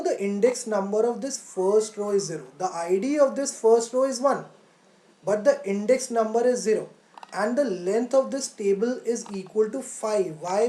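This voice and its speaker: A woman with a mellow, mid-pitched voice gives a live online tutorial in a roomy, echoey space. She explains step by step as a teacher does, often pausing to think aloud and restating phrases for emphasis. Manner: poses questions and answers them. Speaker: coding teacher.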